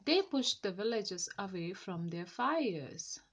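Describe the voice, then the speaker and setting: A woman reading a children's story aloud in a careful, deliberate and expressive way. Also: medium pitch. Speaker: storybook reader.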